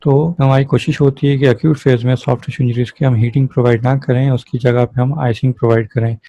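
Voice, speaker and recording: Gravelly, even-toned man giving a lecture. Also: medium pitch, quick pace. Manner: didactic, asks and answers own questions, switches between Hindi-Urdu and English terms. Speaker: physiotherapy lecturer. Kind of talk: explanatory lecture with everyday case examples.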